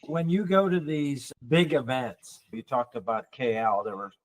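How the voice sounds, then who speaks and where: A man's voice: medium pitch, expressive, measured pace, low-quality recording. A man hosting a casual conversational interview as a podcast host.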